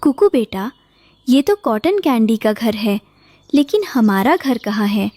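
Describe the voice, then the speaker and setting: Lady doing a kind mama bird, kids cartoon, slow n mellow, gentle coaxing. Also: fairly high pitch, expressive, dry recording. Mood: tender. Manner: tender, coaxing. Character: gentle, patient mother sparrow. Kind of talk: children's cartoon voice acting.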